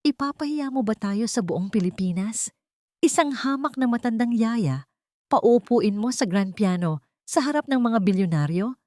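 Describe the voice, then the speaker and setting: A woman narrator plays a rich, snobbish daughter-in-law in an audio story. She is furious and scornful, with a sharp tongue that cuts down anyone beneath her. The recording is very dry. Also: fairly high pitch, animated, normal speed, no echo, pitched above the performer's own voice, lightly acted. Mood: outraged, scornful.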